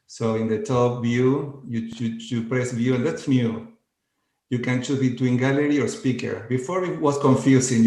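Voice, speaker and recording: Guy leading a breathing exercise on a zoom call, echoey room, calm and slow. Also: mid-range voice. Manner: slow, gentle, inviting instructions. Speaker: coach and conference presenter. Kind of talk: guided centering exercise. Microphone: video call. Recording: roomy video call audio.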